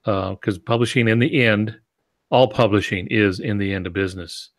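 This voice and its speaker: Gravelly low-voiced man, plainspoken. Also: normal speed. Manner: plainspoken, matter-of-fact. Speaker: podcast co-host. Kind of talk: conversational advice.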